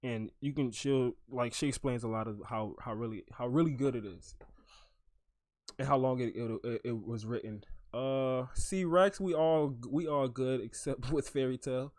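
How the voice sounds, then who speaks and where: A man's voice: mid-range voice, moderate pace, a little mellow, no room sound, clean studio sound. A man in his twenties hosting a casual anime podcast discussion, talking emphatically with plenty of slang and swearing. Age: 20s. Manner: enthusiastic, emphatic, profane slangy talk. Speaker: podcast host.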